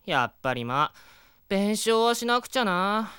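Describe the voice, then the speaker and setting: Boyish wisecracking sidekick, sharp bright voice, super animated, long-suffering, comedy audio drama. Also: female voice, medium pitch, takes it slowly, smooth, dry recording. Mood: exasperated. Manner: dry, teasing, blunt boyish speech.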